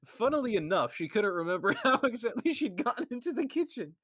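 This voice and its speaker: A man's voice: very high-pitched, big pitch swings, brisk, a bit bright, no room sound, clean studio sound. Laughing man reading a fanfic. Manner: breaks into laughter mid-sentence. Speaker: fanfic reader.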